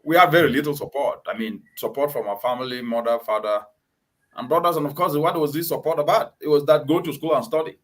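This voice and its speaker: Male host from Cameroon, emphatic and repetitive, a touch high-pitched. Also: quick pace. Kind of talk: conversational interview.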